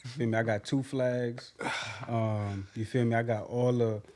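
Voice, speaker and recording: A young man co-hosting a casual podcast with friends, joking and animated in his talk. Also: medium pitch. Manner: animated, joking, quick to laugh. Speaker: podcast co-host. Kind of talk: casual chat.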